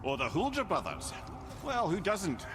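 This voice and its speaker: An actor playing a witty old severed-head sage in a video game, talkative and wry. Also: male voice, high voice, wildly swooping pitch, measured pace, music in the background, exaggerated voice. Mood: wry, amused. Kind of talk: video game character acting.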